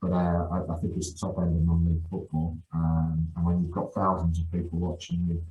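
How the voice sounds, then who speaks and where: A middle-aged man with a very low voice speaks as a guest on a casual podcast. He is enthusiastic and keeps repeating and restarting his words. His voice comes across with a noticeable room echo. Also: monotone, a little fast, lo-fi sound, faint music behind. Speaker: guest, youth football coach. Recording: echoey room.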